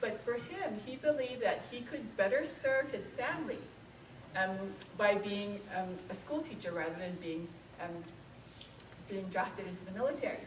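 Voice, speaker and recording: A woman's voice: mid-range voice, moderate pace, reverberant, recorded from a distance, a little hiss or hum, low-quality recording. A woman from Hawaii, an author giving a conversational museum talk, full of ums and questions to the audience. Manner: conversational, frequent um fillers, asks audience questions. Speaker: author and speaker. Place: museum event room.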